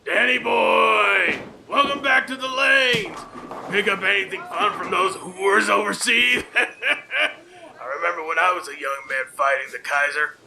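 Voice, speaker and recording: An actor playing a crude, jovial older bowling alley owner with a hearty, lewd manner in a noir radio play. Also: male voice, sits high, unhurried, gravelly, a little hiss or hum, exaggerated voice, rough recording. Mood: leering, boisterous. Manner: hearty, lewd, loud greetings.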